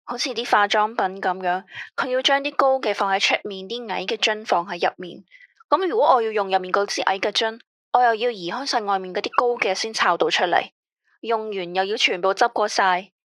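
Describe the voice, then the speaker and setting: An actress playing an anxious radio caller who speaks Cantonese with a Hong Kong accent in a tense, weary, complaining way. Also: female voice, mid-range voice, brisk, a bit bright, dry recording, studio quality, close to an everyday voice. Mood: uneasy. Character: female caller, anxious about a controlling ex-husband. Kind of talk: radio drama acting.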